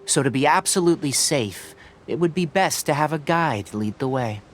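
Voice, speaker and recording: An actor playing a cautious young forest scholar in a video game, speaking in a precise, analytical, instructive way over music. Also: male voice, a little high, lively intonation, normal speed, slight background noise, lightly acted.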